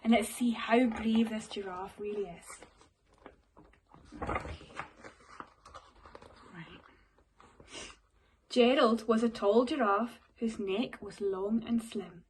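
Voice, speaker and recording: A woman with a Scottish accent reading a storybook aloud to children like a primary teacher, expressive and addressing her young listeners. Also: on the high side, slow pace, long pauses, slight background noise, rough recording.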